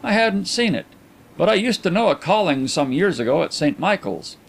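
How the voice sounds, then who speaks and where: A man voicing a placid, self-assured, good-humoured gentleman in an audiobook, with a suave and courteous manner. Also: sits high, very animated, moderate pace, gravelly, voice pushed up, exaggerated voice. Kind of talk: audiobook character acting.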